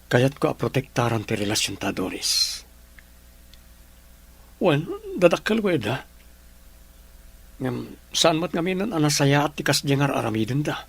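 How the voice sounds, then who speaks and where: A protective young man in love acted by a man in a radio play. He sounds earnest and wary, in a medium-pitched voice with a husky grain. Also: expressive, measured pace, long pauses, a bit bright, heavily acted. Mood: guarded, troubled. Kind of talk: radio drama acting.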